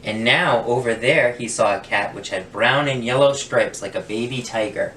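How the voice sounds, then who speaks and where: Male picture-book reader, expressive storyteller for children, roomy sound a little off the mic. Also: medium pitch, normal speed. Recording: roomy, distant mic, faint noise.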